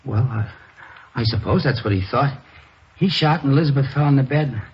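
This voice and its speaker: An actor playing an evasive husband suspected of murder, stammering and eager to seem helpful and innocent in a radio crime drama. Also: male voice, mid-range voice, moderate pace, breathy edge. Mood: unsure, explaining. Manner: hesitant, stammering, eager to please. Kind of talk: 1950s radio drama acting. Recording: noisy, dull old radio recording.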